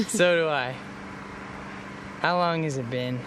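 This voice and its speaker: Breezy newlywed husband, road noise behind. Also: male voice, fairly high pitch, heavily acted. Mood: playful. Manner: wry, joking, unhurried. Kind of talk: radio drama acting.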